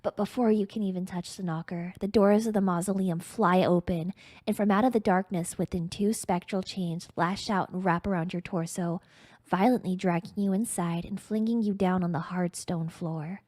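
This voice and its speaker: A woman's voice: on the low side, fairly even intonation, moderate pace, darkish tone, dry recording. A woman streamer reading a story aloud in a theatrical, mock-grandiose, dramatic narrating style.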